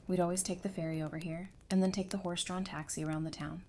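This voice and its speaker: A woman's voice: fairly low pitch, steady tone, fast, mellow, lightly acted. A woman playing an affectionate, teasing young wife in an audio drama, talking in a casual, conversational way. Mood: casual, explanatory. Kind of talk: fan audio drama acting. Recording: clear background noise and ambience.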